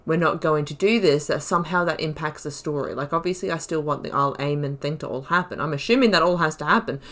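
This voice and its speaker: A woman giving casual, reflective, rambling commentary as she hosts a video game playthrough. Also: deep voice. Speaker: gaming video host.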